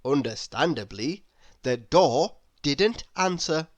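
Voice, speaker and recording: A woman's voice: low-pitched, expressive, slow, no echo. A woman narrating a children's story read-aloud expressively, addressing young listeners with playful questions.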